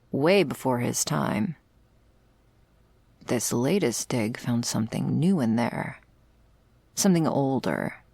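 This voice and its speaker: Woman acting a husky, creaky, sardonic vampire investigator. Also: a bass voice, dry recording, full character voice. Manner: dry, sardonic, swearing, hushed in places. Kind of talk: ASMR roleplay, intimate dramatic monologue.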